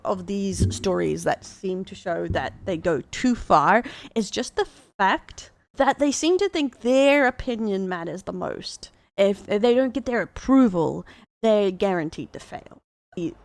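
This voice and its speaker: A woman's voice: mid-range voice, darkish tone, no echo. A woman with an Australian accent, chatting casually as a VTuber reacting to fan posts, laughing often and amused. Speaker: VTuber commentator.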